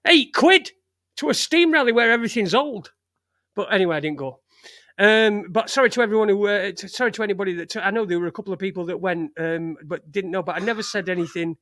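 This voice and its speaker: A Sheffield-accented man in his forties hosts a loose live stream. His voice sits a little high and sounds close and dry. He wanders from story to story, cursing casually and restarting his sentences. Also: quick pace, bright, forceful tone, dry recording. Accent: Sheffield, Yorkshire. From England. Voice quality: chatty, swears freely, lots of fillers and restarts. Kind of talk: rambling casual chat to a live chat room.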